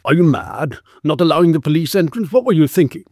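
A stern storybook constable, acted by a male narrator, gruff and authoritative. His speech is commanding and blustering, that of a lawman with a soft heart under it, recorded dry and close. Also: fairly high pitch, animated, fast talker, no room sound, voice pushed up. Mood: gruff, scolding. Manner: commanding, scolding, growling.

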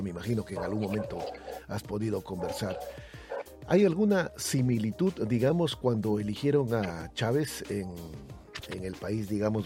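A man interviewing a guest, asking questions and telling a personal anecdote in a conversational way. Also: on the low side. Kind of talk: interview on air.

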